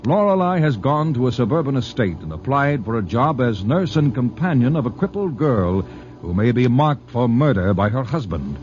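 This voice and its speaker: A man's voice: mid-range voice, sing-song, normal speed. A man narrating as an announcer in scripted 1940s radio drama style. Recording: dull 1940s radio recording with music. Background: loud music.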